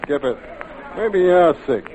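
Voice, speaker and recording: A man's voice: sits high, lively intonation, unhurried, muffled recording, a crowd in the background. A man playing a knowing, easygoing truck driver in an old-time radio drama, plain-spoken. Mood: knowing, uneasy.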